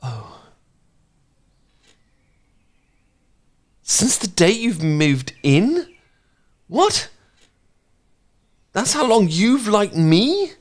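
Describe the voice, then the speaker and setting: A man playing a smitten, bashful housemate in an intimate romance audio roleplay, speaking gently and sometimes haltingly. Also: sits high, sing-song, full character voice. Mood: flustered, startled. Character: smitten, bashful male housemate, tender poetry reader.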